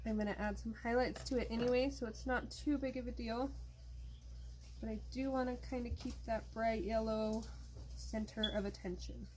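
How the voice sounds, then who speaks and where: A woman hosting an art tutorial, explaining her steps in a relaxed, chatty way while she works. She is losing her voice. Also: a little high, normal speed. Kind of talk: casual step-by-step craft demonstration.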